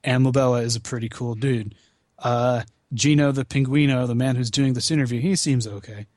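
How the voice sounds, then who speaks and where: A man's voice: medium pitch, steady tone, fairly quick, a little mellow, dry recording, studio quality. A man chatting casually as a podcast guest about video games, swearing freely with a wry, self-deprecating manner. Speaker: podcast guest, video game marathon founder.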